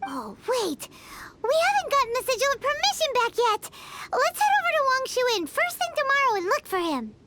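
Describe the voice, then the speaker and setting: A woman's voice: huge pitch swings, a little fast, very noisy, heavily acted. A woman voicing a small, curious fairy companion who speaks of herself in the third person, in a video game dub. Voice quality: squeaky childlike high voice. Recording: game audio with loud music behind.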